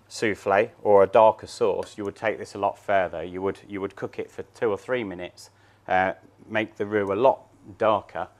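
A man's voice: low voice, a little mellow. A man in his thirties, a chef, talking through a cooking demonstration step by step in a large showroom kitchen. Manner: plain, step-by-step explaining while working.

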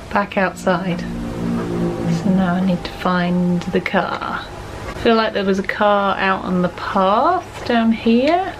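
A woman's voice: medium pitch, background noise. A woman in her thirties giving casual, reactive gameplay commentary, self-mocking and talking to herself and the game. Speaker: gamer hosting a playthrough. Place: small furnished room at home, indoors.

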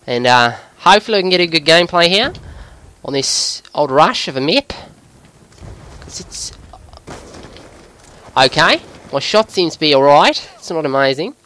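High, animated Australian gamer bloke put on by a man. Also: moderate pace, long pauses. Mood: focused, chatty. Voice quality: voice pushed higher by the accent. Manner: excitable, sweary, breaking into giggles at himself. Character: excitable Australian bloke gamer, jokey larrikin. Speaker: gaming video commentator. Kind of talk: live gameplay commentary.

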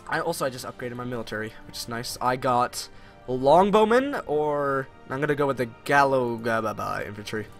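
A man's voice: mid-range voice, expressive, brisk. A young man with a Canadian English accent, chatting and teasing his co-player while playing a strategy game. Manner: teasing, chatty, laughs at own jokes. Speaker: gamer playing multiplayer strategy. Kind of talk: casual gameplay chat. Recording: faint game music behind.

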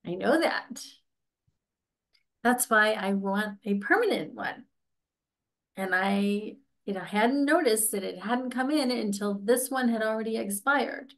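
A woman's voice: mid-range voice, lively intonation, unhurried. A woman in her forties playing an exasperated, persistent licence applicant in a staged comedy reading, with a plaintive manner. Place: indoors, on a video call.

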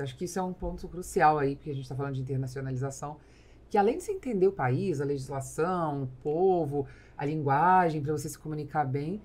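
A woman's voice: low-pitched, darkish tone. A woman with a Brazilian accent hosting a podcast, explaining at length as she builds her questions in a conversational way. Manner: explanatory, long linked questions. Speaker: podcast host. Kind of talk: conversational interviewing. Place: small foam-padded indoor podcast studio. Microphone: studio mic on boom arm.

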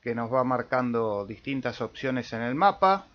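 A man with an Argentine accent giving a casual step-by-step software tutorial as host, with small check-in tags. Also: mid-range voice, expressive, unhurried. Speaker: amateur radio tutorial host. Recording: dull lo-fi voice-over recording.

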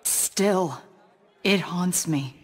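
Haunted game assassin, subdued and husky, dry wit. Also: female voice, lowish voice, extremely slow, heavily acted. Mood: troubled. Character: brooding green-skinned female warrior assassin. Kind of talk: video game acting.

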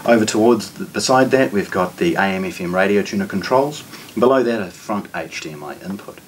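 A man's voice: low voice, expressive, a little fast. A man in his forties with a New Zealand accent presents a product walkthrough in an unscripted, matter-of-fact way. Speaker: shop presenter. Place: indoor audio showroom. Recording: distant mic with background noise.

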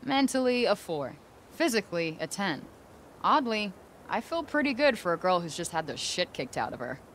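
A woman's voice: mid-range voice, big pitch swings, moderate pace, slight background noise, natural voice. A woman playing a young, tough, sarcastic American game heroine with a conscience, blunt and slangy in delivery. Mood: wry, upbeat. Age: young adult. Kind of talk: naturalistic video game voice acting.

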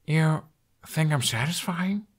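A man's voice: a little high, expressive, slow pace, pitched above the performer's own voice. A man voicing a wry, cynical young dragon rider in an audiobook, dry, sarcastic and a little weary. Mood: pleasantly surprised. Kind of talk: audiobook character voice.

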